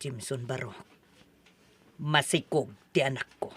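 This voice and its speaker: A woman's voice: rumbling low, unhurried, fairly bright tone, slight background noise. Woman acting a stern, pressing mother. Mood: grave pleading. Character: stern, scheming mother of a pregnant daughter. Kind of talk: melodramatic audio drama acting.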